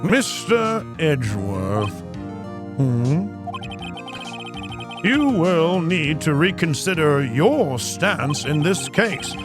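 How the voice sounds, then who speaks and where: A man voicing a stern elderly courtroom judge from a video game, speaking in measured, formal pronouncements. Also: on the high side, sing-song, pitched below the performer's own voice, exaggerated voice. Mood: solemn. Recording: music playing behind the voice.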